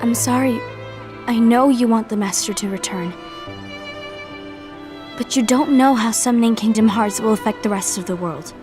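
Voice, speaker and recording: An actress playing an earnest, dutiful young woman in a fantasy game, speaking in a measured way. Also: on the high side, close to an everyday voice. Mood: firm, regretful. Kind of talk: dramatic voice acting for a fantasy game. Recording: orchestral violin music behind the voice.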